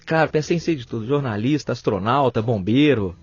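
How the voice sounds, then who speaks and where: A man in his forties from Espírito Santo, Brazil, a physician telling stories in a relaxed, casual interview. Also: medium pitch, brisk, breathy edge, dry recording, highs cut off, faint music behind. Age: 40s. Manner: relaxed, storytelling, uses "cara" and "né". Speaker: physician and writer, podcast guest.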